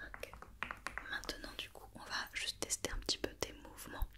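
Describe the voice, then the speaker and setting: Woman whispering asmr, playing a doctor doing a checkup, patient step by step questions, soft breathy. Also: moderate pace. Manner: patient, methodical, repeating instructions and questions. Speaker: ASMR performer playing a doctor examining a robot.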